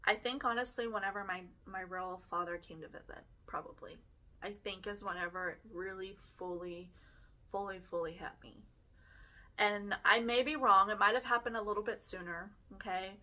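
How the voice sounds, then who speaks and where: A young woman with a Texas accent talks frankly and casually about her own life. Her voice has a bright, forceful edge. She keeps checking in with the listener as she goes. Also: medium pitch, fairly even intonation, normal speed, poor audio. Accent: Texan. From Germany. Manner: chatty, frank, peppered with "like" and "right?". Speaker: podcast host. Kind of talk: casual personal storytelling.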